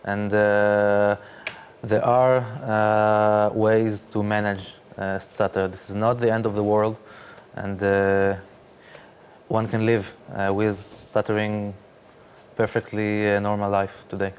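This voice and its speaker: Man, fairly low pitch, halting with fillers, faint background noise. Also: flat delivery. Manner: halting, many fillers and repeated words. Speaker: interview guest, startup founder. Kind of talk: studio interview.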